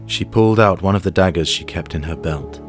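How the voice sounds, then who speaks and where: A man's voice: low-pitched, fairly quick, over music. A man reading scripted story narration in the third person for an audio drama.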